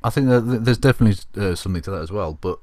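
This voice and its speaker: A man with a deep, low voice gives casual panel commentary as a co-host. He is blunt and scornful, often halting mid-phrase. The recording is dry and close. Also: a little mellow, no echo.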